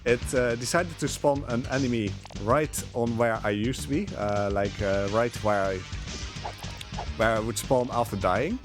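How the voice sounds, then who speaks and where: A man's voice: medium pitch, measured pace. A man giving casual live speedrun commentary, hesitant and rambling as he plays. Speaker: speedrunner commentating on own run. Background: game music.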